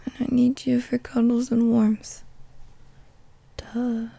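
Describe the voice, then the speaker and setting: Woman to play drowsy young girlfriend for intimate ASMR audio; very mellow, high, breathy and whispery voice, affectionate, gently bossy, coaxing. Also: flat delivery, full character voice. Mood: cuddly, teasing. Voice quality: soft, breathy, often whispering. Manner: drowsy, slow, murmuring, coaxing.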